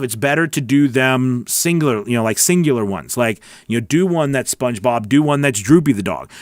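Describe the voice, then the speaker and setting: A man's voice: mid-range voice, dry recording. Male voice-over coach on a livestream, mid-range voice, dry close sound, chatty and encouraging. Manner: chatty and encouraging, thinks aloud with many restarts. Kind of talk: live Q&A, reading viewer comments and answering.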